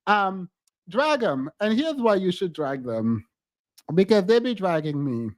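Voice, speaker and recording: A man's voice: high voice, sing-song, a little slow, no room sound. A man whose first language is not English hosts a livestream, talking in a playful, teasing way and drawing out emphatic words. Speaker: livestream host. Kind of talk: casual livestream chat.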